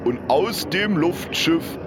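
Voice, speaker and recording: A man playing a dim, unbothered field reporter who rambles haltingly in a parody live news report. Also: on the high side, lively intonation, voice pushed up. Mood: rambling, unbothered. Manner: halting, rambling, simple-minded. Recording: noisy outdoor-style background sound.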